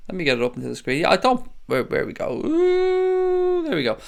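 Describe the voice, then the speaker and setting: A man's voice: very high voice, wildly swooping pitch, a little fast, gravelly, clear, resonant tone. A man hosting a casual live stream, chatting in a friendly way and greeting viewers by name. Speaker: host of a fan live stream. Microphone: desk microphone.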